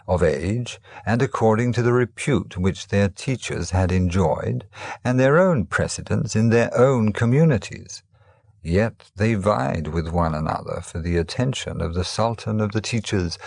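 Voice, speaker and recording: Low-voiced man reading teaching tales. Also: creaky, darkish tone, breathy edge, dry recording, studio quality. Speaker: storyteller. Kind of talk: scripted narration of teaching tales.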